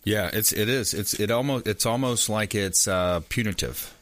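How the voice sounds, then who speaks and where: A man hosting a consumer talk radio show, interviewing a guest in a brisk, agreeable manner with frequent fillers. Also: on the low side, a bit bright.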